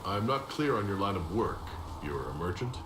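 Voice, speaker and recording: An actor playing a composed, inquisitive hero in a video game, speaking plainly and directly. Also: male voice, deep voice, big pitch swings, moderate pace. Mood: curious. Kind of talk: video game dialogue acting. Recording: echoey game capture with clear background noise.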